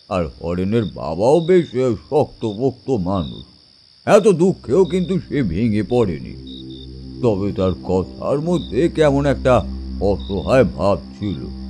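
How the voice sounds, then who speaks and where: A man tells a horror story in a dramatic style, with a dark, mellow tone. His delivery is slow and his pitch moves a lot, with faint music behind. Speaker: narrator and storyteller.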